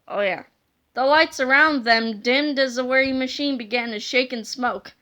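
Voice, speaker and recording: A woman narrating a children's book aloud in an expressive, dramatic way. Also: high-pitched, moderate pace.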